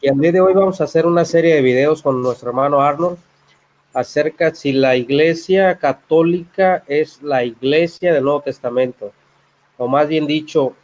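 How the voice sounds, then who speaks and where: A man's voice: fairly high pitch, moderate pace, a little mellow. A man with a Mexican accent from Michoacán introducing a live-streamed Bible study politely, with many hesitant "este" fillers. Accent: Mexican Spanish, Michoacán. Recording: dull lo-fi online stream audio.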